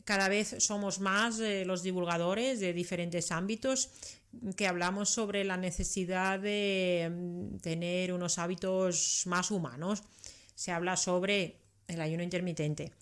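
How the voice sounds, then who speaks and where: A woman's voice: fairly low pitch, steady tone, a little slow, clean tone. A middle-aged woman from Finland, a native Finnish speaker, explains things conversationally as an expert interview guest, adding frequent tag questions. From Karelia, southeastern Finland. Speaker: interview guest, doctor and science communicator.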